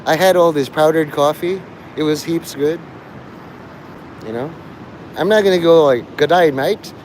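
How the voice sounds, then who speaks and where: A man's voice: on the high side, unhurried, a little mellow. A man giving a casual, rambling monologue to listeners, digressive and blunt, with profanity. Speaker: live streamer. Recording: clear outdoor traffic and vehicle noise.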